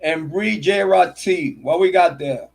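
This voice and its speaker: A man's voice: sits high, unhurried, vocal fry. A man hosting a true crime livestream, ranting in a heated, profane, repetitive way and stopping to thank viewers by name. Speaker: true crime channel host. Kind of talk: livestream commentary rant.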